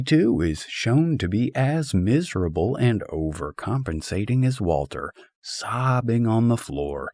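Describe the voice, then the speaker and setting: A man reading a humorous philosophical essay as a scripted audiobook, in a wry and expressive way. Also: sits low, dry recording, clean studio sound. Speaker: audiobook narrator.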